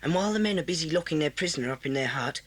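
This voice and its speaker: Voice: medium pitch, expressive, very fast, lightly acted. A performer playing a blunt, brave schoolboy with an English accent in a children's audio drama. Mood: hushed, determined. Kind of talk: children's radio drama acting. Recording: hissy old cassette tape.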